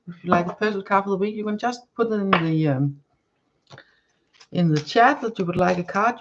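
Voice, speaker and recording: A woman's voice: mid-range voice, dull audio, background noise. Woman reading tarot cards. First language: Danish. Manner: searching for words, many restarts and fillers. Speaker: tarot card reader. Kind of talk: live-stream tarot reading.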